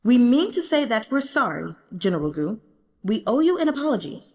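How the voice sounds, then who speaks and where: A woman playing a calculating male emperor in an audiobook, speaking in a formal, measured, regal way. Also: on the high side, big pitch swings, a little mellow, long reverb tail, voice pushed up. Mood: formally apologetic. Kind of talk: audiobook character acting.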